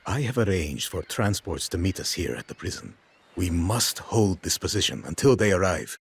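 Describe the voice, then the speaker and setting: A resolute ally laying out plans. His voice is very deep with wide pitch movement, delivered matter-of-factly. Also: big pitch swings, moderate pace, creaky, husky, full character voice. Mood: steady. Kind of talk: game cutscene acting.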